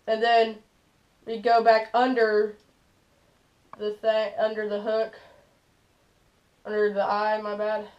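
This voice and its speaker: A man's voice: sits high, completely flat delivery, slow, long pauses. A teenage boy hosting a casual fishing how-to in a small bedroom, talking loosely with lots of "like" and "stuff like that.". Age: teens. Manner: loose, filler-heavy, "like" and "stuff like that". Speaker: fishing channel host. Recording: dull lo-fi camera audio.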